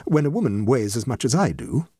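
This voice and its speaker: A man voicing a shrewd, tactful society lady with a measured, dry and precise manner in a comic story. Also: mid-range voice, sing-song, mellow, slightly rough, no room sound, pitched above the performer's own voice. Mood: wry. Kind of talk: comic short story reading.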